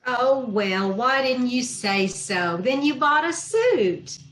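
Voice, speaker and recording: A woman's voice: fairly high pitch, very animated, slow pace, fairly bright tone, reverberant, heavily acted, rough recording. A woman playing a dry-witted, sarcastic schoolteacher in old-time radio comedy style, recorded over a video call in a room. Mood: wry. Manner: wry, sarcastic comebacks. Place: echoey room, remote video-call performance.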